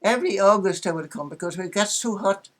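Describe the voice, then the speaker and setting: A woman's voice: medium pitch, brisk. An elderly woman in her eighties with a Northern Irish accent, casually reminiscing about her life in a chatty, anecdotal way with wry humour. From Northern Ireland. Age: 80s. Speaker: interviewee recalling her life.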